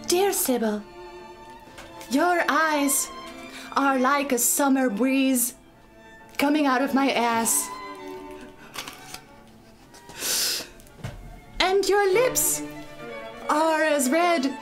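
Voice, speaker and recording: A woman voicing a vain, giggly, boastful maiden in a theatrical puppet comedy, with gushing, drawn-out, sing-song delivery. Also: high-pitched, lively intonation. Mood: swooning. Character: vain, giggly maiden sister, boastful about her lovers. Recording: music playing behind the voice.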